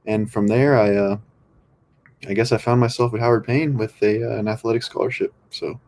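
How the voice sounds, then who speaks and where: A man's voice: lowish voice, steady tone, brisk, a little mellow, a little hiss or hum. A young man from California, a podcast guest, talking in a laid-back, rambling way with many ums. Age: young adult. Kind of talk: casual podcast chat.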